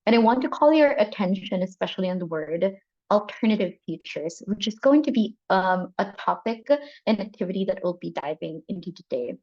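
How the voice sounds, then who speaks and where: A woman's voice: mid-range voice, animated, fairly quick, a little mellow. A woman hosting an online workshop who thanks people and sometimes restarts mid-sentence. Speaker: workshop host. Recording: muffled lo-fi audio.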